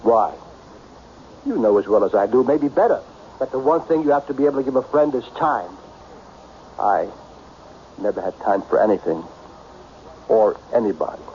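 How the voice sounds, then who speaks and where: Brooding, expressive millionaire in radio drama played by a man. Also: medium pitch, normal speed, pauses often, close to an everyday voice. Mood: bitter, dejected. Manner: blunt, emphatic, brooding. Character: lonely self-made millionaire businessman, melancholy, brusque, self-pitying. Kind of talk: old-time radio drama acting. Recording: dull, noisy old radio broadcast.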